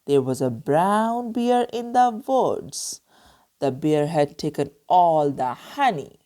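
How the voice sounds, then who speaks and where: A woman storyteller reading a children's book aloud with expressive narration. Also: low-pitched, slow pace.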